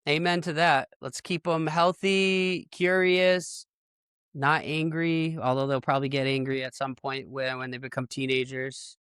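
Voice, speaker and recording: A man's voice: on the high side, level tone, measured pace, a bit bright, clear, resonant tone, no echo, clean studio sound. A middle-aged American man hosting a casual podcast chat in an informal, slangy way. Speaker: podcast host.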